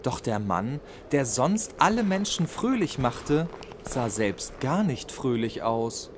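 A narrator telling a children's bedtime story in an expressive, scripted storytelling manner. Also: mid-range voice, slow pace, noisy background. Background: music.

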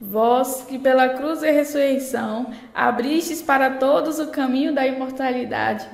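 A woman's voice: sits high, measured pace, vocal fry. A woman reading liturgical intercessions as a prayer leader. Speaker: prayer reader. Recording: echoey hall with long reverb.

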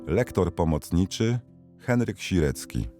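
A man's voice: deep voice, very animated, a little slow, dark tone, faint music behind. A man reading scripted audiobook credits as an announcer.

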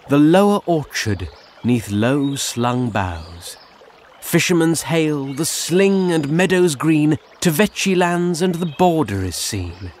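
A man performs literary verse in a theatrical way. His voice is a little high and moves widely in pitch. The delivery is slow and clearly articulated. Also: slight background noise. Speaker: voice actor. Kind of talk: performed poetry and literary reading.

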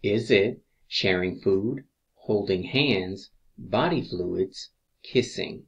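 Male instructor for exam review narration: fairly deep voice, very unhurried, measured reading of questions and options. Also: creaky, dull audio. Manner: measured, deliberate reading with pauses between answer choices.

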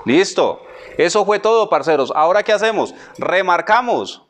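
High-voiced Colombian man, casual barber. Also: lively intonation, moderate pace. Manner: colloquial, repeats phrases, addresses viewer as buddy. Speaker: barber giving a tutorial. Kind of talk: casual hands-on tutorial narration.

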